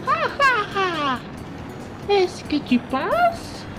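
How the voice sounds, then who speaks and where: Woman doing a taunting cartoon fortune teller villainess, high slow voice, music behind. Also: wildly swooping pitch, exaggerated voice, poor audio. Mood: mocking. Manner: taunting, drawn-out, swooping. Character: theatrical mocking villainess, fortune-telling astrologer. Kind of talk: cartoon comic fan dub.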